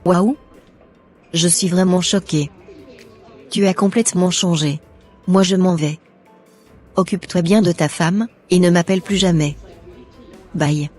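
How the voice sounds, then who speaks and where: Woman acting jilted mistress, lowish voice, proud, scornful, cartoon dialogue, music behind. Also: steady tone, measured pace, long pauses, a little mellow, husky. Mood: hurt, scornful.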